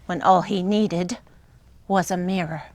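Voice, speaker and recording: An actress on a theater stage playing a bitter, wronged Victorian widow with a pointed, dramatic delivery. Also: female voice, on the low side, steady tone, measured pace, darkish tone, a little hiss or hum, lightly acted. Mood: scornful. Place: theater stage before an audience.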